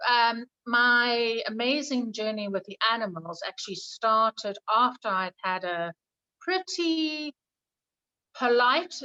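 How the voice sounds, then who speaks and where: A woman's voice: a little high, bright, forceful tone, muffled recording. A woman with a South African accent, a guest telling her story openly, with frequent ums, over a video call. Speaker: guest, animal communicator. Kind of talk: interview answers. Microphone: video call from Kenya.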